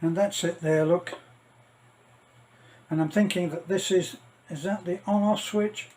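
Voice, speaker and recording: A middle-aged man giving a casual, rambling unboxing commentary, halting and thinking aloud as he goes. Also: sits high, steady tone, darkish tone, slight background noise. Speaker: vlogger.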